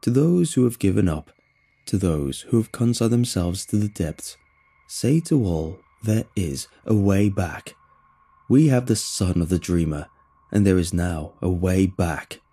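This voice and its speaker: A man voicing an alien machine voice that crackles and roars its proclamations over loudspeakers to its lost colleagues. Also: sits low, expressive, unhurried, slightly rough, heavily acted. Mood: zealous proclamation. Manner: crackling, roaring, eager proclamations. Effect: blaring over scattered loudspeakers.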